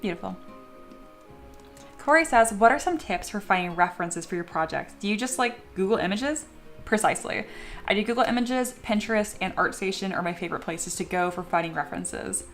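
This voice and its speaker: A woman's voice: medium pitch, a little fast, a bit bright, soft background music. A young adult woman chatting casually on a live stream while she sculpts at home, reading viewer messages aloud and laughing often. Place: home studio.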